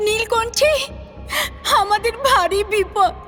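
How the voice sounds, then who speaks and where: A delicate young princess, acted by a woman with an extremely high, girlish voice. She speaks in an anxious, plaintive, dramatic way, as in a fairy-tale radio play with music behind. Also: slow, a bit bright, exaggerated voice. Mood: tearful pleading. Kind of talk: Bengali fairy-tale audio drama acting.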